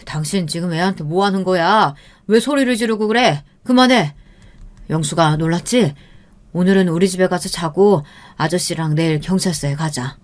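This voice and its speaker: A woman's voice: low-pitched, fairly even intonation, moderate pace, darkish tone, lightly acted. A woman doing a protective middle-aged dad. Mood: stern.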